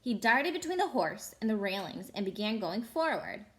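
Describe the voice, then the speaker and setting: A woman storyteller reading a children's novel aloud with expressive, dramatic emphasis. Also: mid-range voice, normal speed. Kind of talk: expressive children's audiobook narration.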